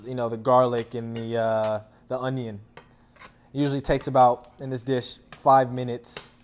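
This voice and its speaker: A man's voice: mid-range voice, little pitch movement, normal speed. A man giving an informal, playful cooking demonstration, instructing as he goes. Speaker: athlete cooking a dish as guest chef. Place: indoor kitchen.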